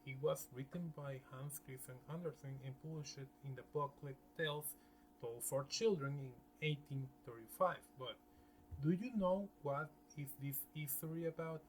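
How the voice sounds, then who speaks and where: A man presenting scripted narration in a halting way, sometimes stumbling over words. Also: medium pitch, bright, forceful tone, low-quality recording.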